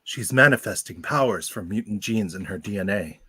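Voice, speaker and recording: A man playing a composed, authoritative professor with a husky voice and reassuring manner in a live audio drama read. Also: fairly low pitch, animated, brisk, bright, forceful tone. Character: composed, authoritative male professor offering help.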